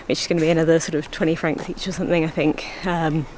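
A woman's voice: deep voice, little pitch movement, quick pace, darkish tone, slight background noise, rough recording. A young British woman, a travel vlogger chatting casually to a handheld camera at arm's length outdoors. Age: young adult. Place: outdoors in mountain villages.

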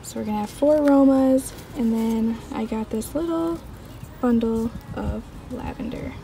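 A woman's voice: a little high, a little slow, vocal fry, dark tone, slight background noise, rough recording. A young adult woman giving casual vlog narration as a homemaker. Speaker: homemaking vlogger.

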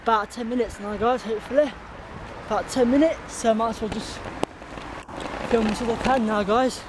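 A man's voice: very high-pitched, fairly even intonation, normal speed. A man in his twenties with an English accent, vlogging casually outdoors, rambling and informal as he talks to viewers. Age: 20s. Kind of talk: casual chat to viewers while cycling. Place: outdoors on suburban roads, riding a bicycle. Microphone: handheld camera at arm's length. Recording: very noisy outdoor wind and traffic.

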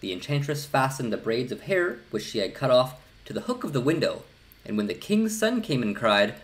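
Male storybook narrator, middle-aged, animated range. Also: medium pitch, normal speed. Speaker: storyteller reading a fairy tale aloud. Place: small indoor room.

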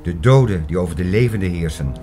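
An actor in a radio drama playing a sceptical, learned man who argues in a measured, lecturing and dismissive way. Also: low voice, lively intonation, dark tone, soft background music. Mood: scornful, lecturing. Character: sceptical, learned older male friend named Klaus, dismissive. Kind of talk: radio drama dialogue acting.

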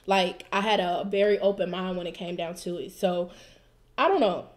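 Young woman, reflective podcast co-host, soft trailing delivery, studio mic in a small room. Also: fairly low pitch, steady tone, moderate pace. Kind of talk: casual chat. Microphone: studio mic with headphones.

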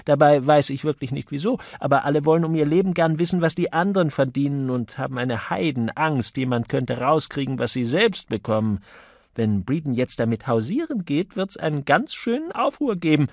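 A chatty, indignant young office typist played by a male reader, pitched high with animated swoops. She gossips emphatically and freely shares her opinions, as a character in an audiobook crime story. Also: brisk, no echo, studio quality.

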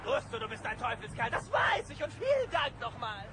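Casting: flamboyant, exuberant cartoon bear artist, extremely high animated voice, audio drama. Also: male voice, a little fast, over a noisy crowd, full character voice. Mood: elated. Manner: exuberant, self-admiring. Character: flamboyant excitable male gummy bear artist. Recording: very noisy cassette, busy background.

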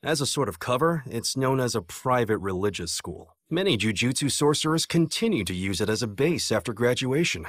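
A man's voice: mid-range voice, animated, fairly quick, few pauses, a bit bright, dry recording, clean studio sound. An American man playing a cocky, playful young sorcerer teacher in an English anime dub, with a breezy manner. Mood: calm, explaining.

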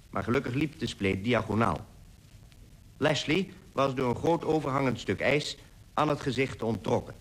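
Male actor as sober polar explorer in a radio play, matter-of-fact, medium pitch. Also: close to an everyday voice, low-quality recording. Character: male sledging explorer soberly reporting an accident. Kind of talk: scripted radio drama narration.

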